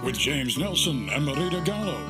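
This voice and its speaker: A man's voice: on the high side, sing-song, normal speed. A man announcing the introduction of a travel show in a scripted read. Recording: loud music behind the voice. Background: loud guitar music.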